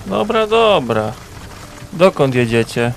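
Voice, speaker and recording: A man playing a slow-spoken, self-assured fantasy wanderer. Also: on the high side, big pitch swings, gravelly, faint music behind, exaggerated voice. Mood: impatient. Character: wary, self-assured male wandering traveller seeking hire. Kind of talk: fantasy audio drama acting.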